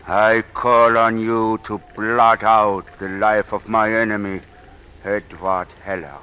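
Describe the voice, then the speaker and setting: An actor playing a vengeful fanatic invoking the devil, intoning melodramatically in old radio style. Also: male voice, low voice. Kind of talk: 1940s radio acting. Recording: muffled old radio recording, faint noise.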